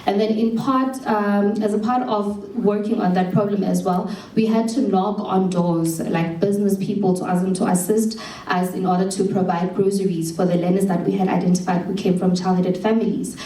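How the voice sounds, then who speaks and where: A woman's voice: medium pitch, fairly even intonation, normal speed, dark tone. A young South African woman speaking earnestly at a showcase about what she learned, with some restarts. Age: young adult. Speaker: program alumna and speaker. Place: event hall. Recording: roomy hall from far off with faint hum.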